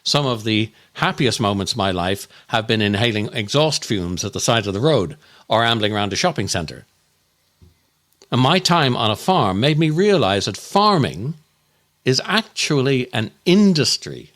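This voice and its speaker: A man delivering a scripted comic monologue as a podcast host, in a grumpy, exasperated, mock-appalled and deliberate way. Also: medium pitch, fairly bright tone.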